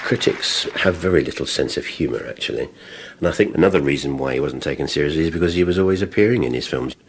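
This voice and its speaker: A man's voice: rumbling low, quick pace, crowd noise, background noise. A man giving his opinions as a film commentator in a documentary interview.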